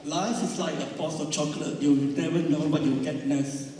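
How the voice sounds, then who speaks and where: A man speaking on a panel, hesitant with many pauses and ums. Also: a little high, fairly even intonation, background noise. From Malaysia. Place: large event hall. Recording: echoey hall, distant mic.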